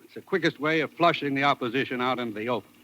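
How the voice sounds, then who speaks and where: A man's voice: mid-range voice, racing, bright, forceful tone, lightly acted. An actor playing a grave, authoritative American intelligence chief briefing his agent in a vintage radio drama. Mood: grave, urgent. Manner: earnest, authoritative. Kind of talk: 1950s radio acting. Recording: noisy vintage radio recording.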